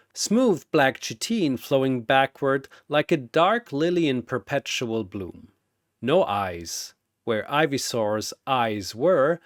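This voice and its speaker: A male narrator delivers scripted dramatic storytelling at an unhurried pace. His pitch moves around a lot, with theatrical, deliberate phrasing. The sound is dry, with little room in it. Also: no echo.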